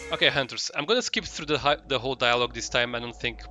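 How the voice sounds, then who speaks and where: A man's voice: mid-range voice, fast, music in the background. A man doing casual live commentary as a game streamer, rambling and hesitant as he searches for his words.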